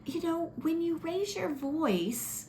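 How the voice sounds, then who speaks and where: A woman's voice: very high-pitched, slow, slight background noise. A woman coaching couples in casual talk, acting out example dialogue as she explains. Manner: animated, acts out example dialogue. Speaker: relationship coach.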